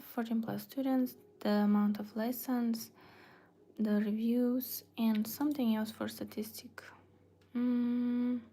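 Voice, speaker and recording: A woman's voice: fairly high pitch, dark tone. A woman from Bulgaria, designing a website on a livestream and murmuring her thoughts aloud, often close to a whisper. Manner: murmuring half to herself, often dropping to a whisper. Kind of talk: casual livestream, thinking aloud while working.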